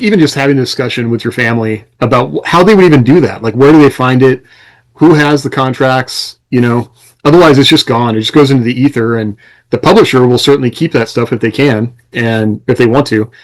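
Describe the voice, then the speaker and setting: A man's voice: medium pitch, fairly quick, poor audio. An American man talking casually as a podcast host, explaining things conversationally with examples and quoted lines.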